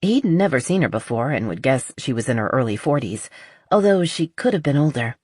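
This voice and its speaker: A narrator reads romance fiction in a scripted audiobook style. The voice sits at a medium pitch, and the recording is very dry, with no room sound. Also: big pitch swings, a little fast, a little mellow, husky, no room sound.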